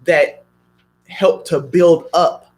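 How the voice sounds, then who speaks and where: A man's voice: on the high side, steady tone, very slow. A man on a radio talk show, speaking in a preacherly way and building his points with strings of repeated questions. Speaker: guest.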